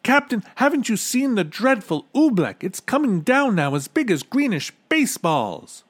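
A man's voice: high voice, big pitch swings, moderate pace, dry recording, voice pushed up. A man voicing an earnest young boy hero in a storybook, with urgent, pleading exclamations. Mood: alarmed, urgent. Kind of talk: storybook character reading.

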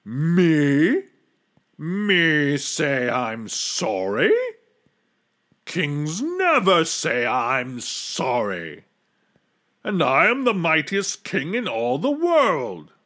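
A man's voice: fairly high pitch, wildly swooping pitch, drawn-out words, fairly bright tone, pitched above the performer's own voice, exaggerated voice. A man voicing a proud, blustering but helpless old storybook king, with a pompous, groaning and bellowing delivery. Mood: indignant, bellowing. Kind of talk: storybook character voice.

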